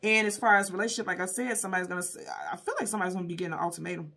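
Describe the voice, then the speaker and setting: Slightly husky woman reading tarot. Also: lowish voice, fairly even intonation, very fast, sharp tone. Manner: conversational, emphatic, often repeating points for emphasis. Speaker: tarot card reader and host. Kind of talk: casual, intuitive tarot reading addressed to viewers.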